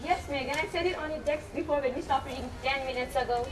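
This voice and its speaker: A woman's voice: high voice, fast, recorded from a distance, natural voice. A young woman in an amateur stage play acting a patient but exasperated schoolteacher, speaking in an instructive way. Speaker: student actor in a school play. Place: echoey hall, distant microphone. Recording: echoey, noisy stage recording from afar.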